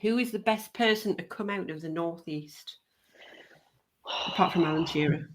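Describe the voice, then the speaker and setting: Low-voiced North East English woman, casual. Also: normal speed, slight background noise, low-quality recording. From North East England. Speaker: podcast host. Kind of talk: casual, colloquial chat.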